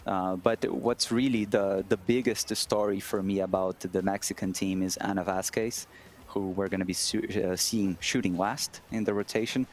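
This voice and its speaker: A man's voice: deep voice, fairly even intonation, moderate pace, a little mellow. A man speaking as a guest analyst on a sports broadcast, earnest and admiring, repeating phrases for emphasis. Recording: faint background noise.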